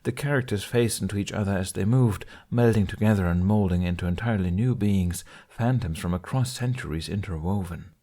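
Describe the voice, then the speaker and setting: A man reading a fan fiction story aloud with steady, expressive storytelling. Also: sits low, a little fast, hardly any pauses. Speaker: podfic reader. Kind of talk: fanfiction audiobook narration.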